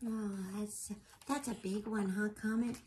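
A woman's voice: mid-range voice, fairly even intonation, measured pace, a little mellow. A woman chatting casually and encouragingly with a child during storytime, with short reacting exclamations. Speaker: co-host listening to a read-aloud. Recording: noisy home room recording.

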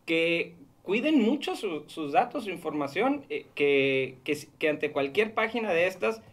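A Mexican man in his thirties talks into a studio boom mic in a small radio booth. His voice sits a little high, and his delivery is earnest and cautionary, laying out the facts plainly for listeners. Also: animated, a little slow. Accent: Mexican Spanish. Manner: earnest, warning, explanatory. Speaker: radio show consumer-fraud reporter. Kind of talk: radio talk segment. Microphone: studio mic on boom arm.

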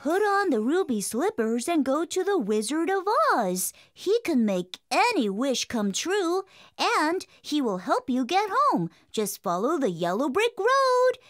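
A woman's voice: high-pitched, sing-song, unhurried. A woman voicing a cheerful, eager, helpful little fairy-tale creature in a children's cartoon.

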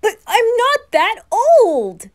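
A woman's voice: very high voice, big pitch swings, extremely slow, pitched above the performer's own voice, exaggerated voice. A woman voicing a jumpy, easily spooked young man who blusters and protests in an anime fan roleplay. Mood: panicked.

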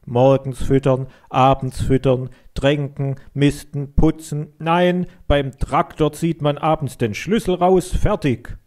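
A man's voice: on the high side, fairly even intonation, slow, hardly any pauses, darkish tone, voice pushed up. A man voicing a stubborn, hot-headed farm boy in a children's story, arguing emphatically. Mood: scornful, insistent. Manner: emphatic, argumentative.

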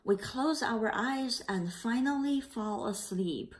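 A woman reading a children's picture book aloud in a careful, slightly halting way. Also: a little high, lively intonation. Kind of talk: read-aloud storytelling.

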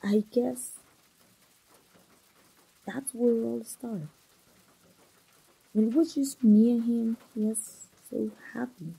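A young woman voicing an engaged woman confiding in an old friend, in a soft, breathy, close ASMR roleplay style. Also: mid-range voice, heavily acted, poor audio. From Mexico.

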